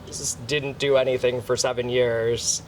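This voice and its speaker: A man's voice: mid-range voice, flat delivery, measured pace. A man being interviewed as a band member, chatting casually with a dry, joking streak. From Phoenix, Arizona, United States. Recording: noisy urban ambience, passing vehicles.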